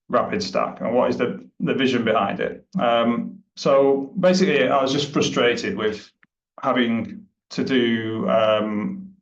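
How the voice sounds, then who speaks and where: A man from the UK with a British accent, presenting his software at a meetup over a video call, hesitant with many fillers and restarts. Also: mid-range voice. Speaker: presenting developer. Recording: distant, roomy, dull sound.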